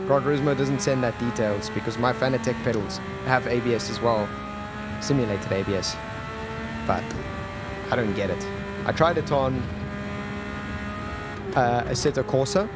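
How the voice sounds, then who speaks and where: Give me a South African man streaming a racing game, talking as he drives, with loud engine noise underneath. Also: medium pitch. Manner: chatty, thinking aloud while racing. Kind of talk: live gameplay commentary. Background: loud car engine sounds from the game.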